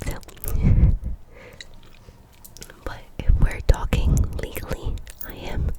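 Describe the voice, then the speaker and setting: A woman in her twenties from Colombia, whispering a casual ASMR chat and softly repeating trigger words. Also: takes it slowly. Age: 20s. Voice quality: breathy unvoiced whisper. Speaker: ASMR creator.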